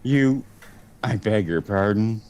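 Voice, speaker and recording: A man's voice: on the low side, lively intonation, slow, darkish tone, smooth. A man playing a sarcastic, fussy store clerk in old-time radio comedy, arch and mock-polite. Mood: dry, snide.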